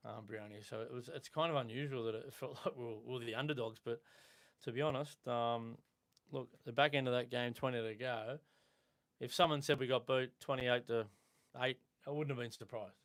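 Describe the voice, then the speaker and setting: An Australian man hosting a live sports podcast, chatting in a relaxed way with frequent ums and restarts. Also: medium pitch, a little fast. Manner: relaxed, conversational with fillers. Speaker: podcast host. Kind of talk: casual sports chat.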